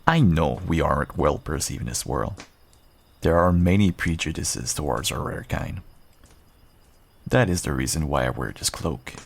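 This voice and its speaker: A man playing a guarded but kind half-wolf rogue in an intimate fantasy audio roleplay, unhurried and dryly understated. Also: expressive, full character voice. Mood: wary, resigned. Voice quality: low, gravelly, husky. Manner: unhurried, dry, understated, self-deprecating.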